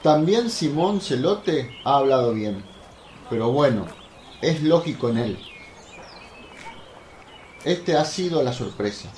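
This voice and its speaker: An actor playing a humble, plain-spoken apostle, earnest and talkative, in a religious radio drama. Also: male voice, medium pitch, animated, takes it slowly, long pauses, a little mellow. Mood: earnest, chatty. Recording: roomy echo, faint noise, birdsong behind.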